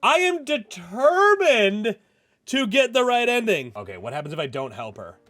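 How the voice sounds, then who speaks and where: A man hosting a gaming channel, chatting casually as he plays and reacts out loud to a story game. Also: high-pitched, big pitch swings, moderate pace. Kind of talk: casual let's-play chat with narrated visual novel reading. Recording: music playing behind the voice. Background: music.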